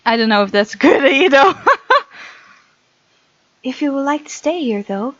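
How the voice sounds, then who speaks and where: A woman's voice: high voice, expressive, moderate pace, dull audio. A woman hosting a gaming video, reading visual novel text aloud with chatty, giggly commentary.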